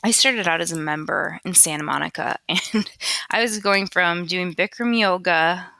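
A woman's voice: lowish voice, moderate pace, vocal fry, pushed and bright, dry recording. A young woman hosting her own podcast, chatting casually and rambling with lots of fillers, recorded at home on a USB headset mic. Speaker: podcast host. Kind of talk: casual solo podcast chat. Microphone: USB computer headset. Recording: dry close headset mic.

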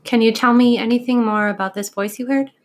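A woman's voice: a little high, fairly even intonation, brisk, faint music behind, natural voice. A woman playing a composed, firm young police officer with a sarcastic streak in an audio drama. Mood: calming, firm.

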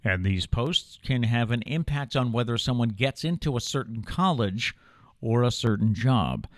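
A man's voice: medium pitch, lively intonation, moderate pace, no echo, clean studio sound. A man in his fifties with an American accent, hosting a morning show with news and casual talk. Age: 50s.

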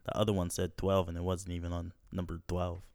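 Laid-back swearing young man, smooth low voice. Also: completely flat delivery, brisk, a little mellow, dry recording, clean studio sound. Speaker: podcast host. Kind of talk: casual profane podcast chat.

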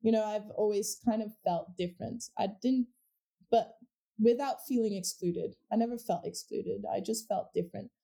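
Mellow, reflective woman from Portugal. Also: medium pitch, normal speed, creaky. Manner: reflective, with frequent restarts and fillers. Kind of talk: casual conversation.

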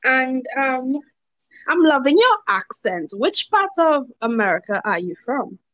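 A woman interviewer asking questions in a warm, encouraging way with short replies. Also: high voice, very animated, a little slow, no echo, lo-fi sound.

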